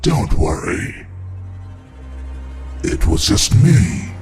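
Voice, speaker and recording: A man playing a gloating, demented evil master of dark creatures as a theatrical, sinister horror podcast host. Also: low-pitched, very animated, very slow, heavily acted. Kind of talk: in-character horror host monologue. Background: music.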